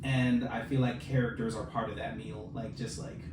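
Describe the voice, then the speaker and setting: A man's voice: medium pitch, little pitch movement, normal speed. Man chatting in a noisy, echoey room. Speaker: podcast co-host; film director and filmmaker. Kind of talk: casual chat. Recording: roomy echo, distant mic, noisy background.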